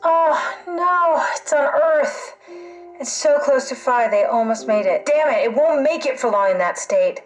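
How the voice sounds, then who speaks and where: A woman's voice: high-pitched, lively intonation, a little slow, soft background music, rough recording. An actress playing a wry, pragmatic woman scientist in a video game, with a matter-of-fact manner. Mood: worried.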